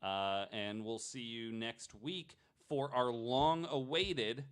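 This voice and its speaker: A man's voice: mid-range voice, slow pace, clear, resonant tone. Laid-back man hosting a casual podcast. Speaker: podcast host.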